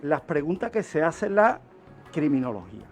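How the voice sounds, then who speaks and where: Male, higher-pitched voice, criminologist on radio, didactic, self-answered questions, light background music. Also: sing-song, normal speed. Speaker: criminologist presenting a radio segment. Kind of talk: explanatory talk on a radio show.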